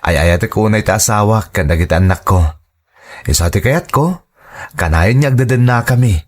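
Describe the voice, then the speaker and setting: A man's voice: lowish voice, expressive, moderate pace. A man with an Ilocano Filipino accent playing an earnest married office worker and devoted father in a drama. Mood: earnest, wistful. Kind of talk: Ilocano radio-style drama acting.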